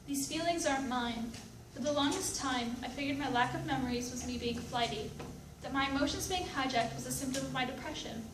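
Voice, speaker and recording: Troubled, high-voiced woman, acted by a woman, echoey. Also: a little fast, natural voice. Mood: reflective. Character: troubled, reflective woman living with many personalities. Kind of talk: readers theatre monologue. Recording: echoey room, distant mic, background noise.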